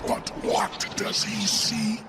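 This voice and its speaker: A man playing an eerie, menacing undead arena herald in a video game, theatrical, with a creaky rasp. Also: sits high, little pitch movement, slow pace, loud music behind the voice. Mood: ominous, gloating. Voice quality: creaky, rasping. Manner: theatrical, ominous.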